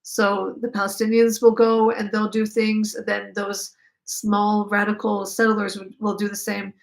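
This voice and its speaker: A woman's voice: medium pitch, monotone, a little slow, creaky, poor audio. A young woman with an Israeli accent, a native Hebrew speaker, hosting a casual live chat and answering viewer questions. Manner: chatty, reads out viewer comments, many fillers.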